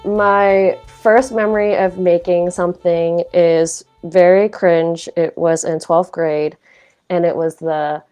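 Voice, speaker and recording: Young woman from Hong Kong, low-pitched, relaxed and self-deprecating, faint music behind. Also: clean tone. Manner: reflective, self-deprecating, loose with fillers. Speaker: guest artist. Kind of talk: casual conversational interview.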